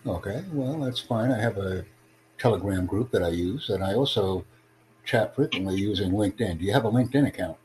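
A man hosting a livestream podcast, addressing callers in a deliberate, instructive way. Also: on the low side, a little mellow. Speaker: podcast host. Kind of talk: livestream chat with online callers. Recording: clearly noisy, humming background.

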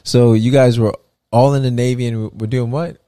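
A man's voice: mid-range voice, fairly even intonation, moderate pace, warm tone, clear, resonant tone, no room sound, studio quality. A man co-hosting a podcast in casual chat, teasing his co-host and laughing often.

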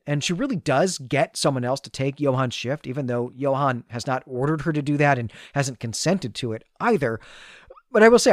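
Male podcast host, slightly high register, close dry sound. Explanatory recap delivery, restating points as he goes. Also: dry recording. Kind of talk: conversational literary recap and analysis.

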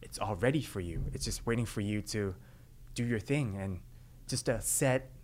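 A young man with a low voice gives relaxed, earnest answers in an interview, with plenty of "um" and "like". He grew up speaking Cantonese and English. Faint background noise sits under the recording. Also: moderate pace. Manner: earnest, relaxed storytelling with fillers. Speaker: actor being interviewed.

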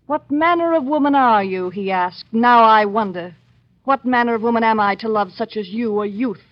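Actress as bitter, domineering wife for vintage radio play; theatrical taunts, muffled old-broadcast sound. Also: female voice, fairly high pitch, moderate pace, no echo, lightly acted. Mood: scornful, demanding. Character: scornful, scheming wife plotting her husband's murder. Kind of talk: old-time radio drama acting. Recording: dull vintage radio recording.

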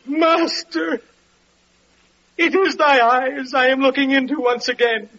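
An actor in an old American radio drama playing a guilt-stricken man begging his master's forgiveness, in wailing, drawn-out archaic speech on a muffled recording. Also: very high voice, pushed and bright, soft background music, full character voice. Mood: anguished awe. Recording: muffled old radio transcription, rough sound.

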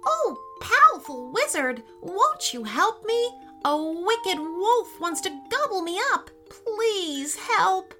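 A woman's voice: very high-pitched, little pitch movement, drawn-out words, pushed and bright, soft background music, pitched above the performer's own voice, exaggerated voice. A woman voicing a small, panicky female rabbit who pleads, in a children's story. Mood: panicked pleading.

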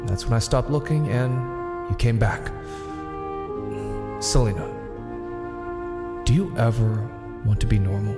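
Brooding, guarded hero played by a man, mid-pitched, terse and serious, radio-play acting with hall reverb. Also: unhurried, long pauses, lightly acted. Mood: wistful. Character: brooding, guarded, weary male vigilante millionaire. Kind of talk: audio drama acting. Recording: hall-like reverb under music.

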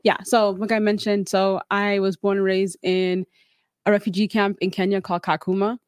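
A young woman from South Sudan chats easily as a podcast guest, leaning on casual fillers while she tells her story. Her voice sits at a middle pitch. It is recorded dry and close. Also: moderate pace, dry recording. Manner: relaxed, storytelling, frequent "like" fillers. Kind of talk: casual interview chat.

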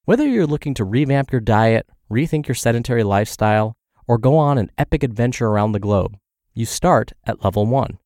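A man's voice: mid-range voice, expressive, fairly quick, a little mellow, no echo, studio quality. Congested man reading a health blog. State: congested and scratchy from the flu. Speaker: podcast host reading blog posts. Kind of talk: read-aloud narration.

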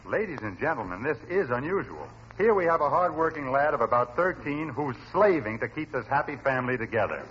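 A man's voice: medium pitch, big pitch swings, brisk, hardly any pauses, lightly acted. A man playing a pushy, cheerful roving radio reporter, with slick announcer patter in 1940s comedy style. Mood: breezy, cheery. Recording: muffled vintage radio recording with hiss.

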